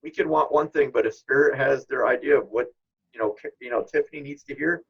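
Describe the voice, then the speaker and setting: A middle-aged man with a gravelly, husky voice, talking reflectively and informally as an interview guest, in a modest, self-deprecating way. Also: mid-range voice, brisk, muffled recording. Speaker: guest, spirit medium.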